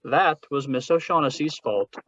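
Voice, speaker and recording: An actor playing a genteel, scheming older crime boss in a 1940s radio drama, speaking in a florid, courtly manner. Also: male voice, fairly high pitch, animated, moderate pace, a bit bright. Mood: smooth explaining. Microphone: video call. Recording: muffled, noisy video-call audio.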